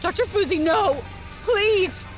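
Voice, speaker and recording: A woman's voice: animated, takes it slowly, music in the background, heavily acted. An actress playing a frightened, pleading woman in an audio drama, panicked and exclaiming. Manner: high, panicked, breathless exclamations.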